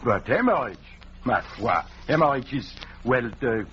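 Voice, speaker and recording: An actor playing a vain, genial detective with a French accent, in a pompous, theatrical 1940s radio style. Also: male voice, medium pitch, lively intonation, a little slow, a bit bright. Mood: playful, musing. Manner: theatrical, pompous, mangles English idioms. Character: vain, genial Belgian male detective with grand airs. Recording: dull, noisy 1940s radio recording.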